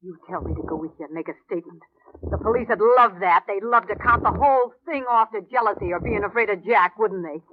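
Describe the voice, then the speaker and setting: A woman's voice: medium pitch, fast, natural voice. An actress playing an anxious, defensive, bitter young woman in trouble in a 1950s radio drama, with an intense, melodramatic delivery. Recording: tinny, noisy old radio recording with clicks.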